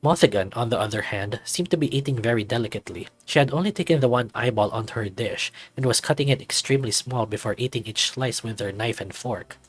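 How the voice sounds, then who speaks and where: A man giving measured, formal first-person narration of a scripted story. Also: medium pitch, slightly rough.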